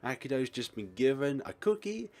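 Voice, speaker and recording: A man's voice: medium pitch, big pitch swings, moderate pace, darkish tone. A man playing and reading a visual novel aloud with playful asides, exclamations and chuckly commentary. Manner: playful, exclaims and laughs, acts out the narrator's lines. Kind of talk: gaming commentary, reading game text and joking asides.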